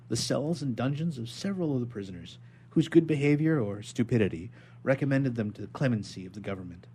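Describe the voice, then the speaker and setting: A man's voice: mid-range voice, a little mellow, slight background noise. A man appearing as a radio guest, chatting informally and reading literary prose aloud. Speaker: guest reader on a radio show.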